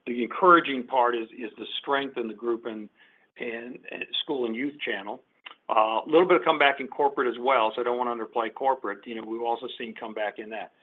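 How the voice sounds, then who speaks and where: A man's voice: medium pitch, steady tone. A man speaking as a company executive, giving unscripted, businesslike answers on an earnings call and often restarting his phrases. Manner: businesslike, halting restarts with repeated words. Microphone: conference call line. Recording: muffled, band-limited conference call audio.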